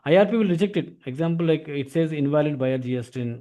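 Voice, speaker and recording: A man's voice: on the high side, expressive, fast, dark tone. A man with an Indian accent giving an informal explanatory lesson as an instructor, often tagging sentences with filler words. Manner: repeats filler tags like "actually".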